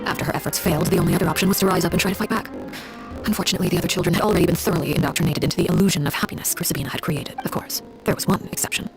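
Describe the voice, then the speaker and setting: A cold, self-possessed commander from a fantasy video game. Her low voice has a creaky edge, and she tells grim tales in formal, unsentimental phrases. Soft music plays behind her. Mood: somber, measured. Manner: measured, formal, unsentimental storytelling. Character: cold, composed woman, a Harbinger telling her grim past. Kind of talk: scripted dramatic game dialogue.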